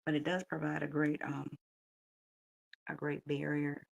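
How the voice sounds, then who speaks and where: A woman in her fifties hosting an early-morning coloring video, talking softly and unhurriedly with a raspy morning voice, thinking aloud with many fillers. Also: deep voice, completely flat delivery, unhurried, no room sound, highs cut off. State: still waking up. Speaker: coloring channel host.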